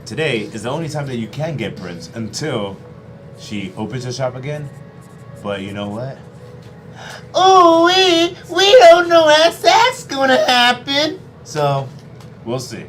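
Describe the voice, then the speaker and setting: Playful, animated male stream host. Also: a little high, measured pace, soft background music. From United States. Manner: playful, animated, hypes things up. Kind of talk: casual chat.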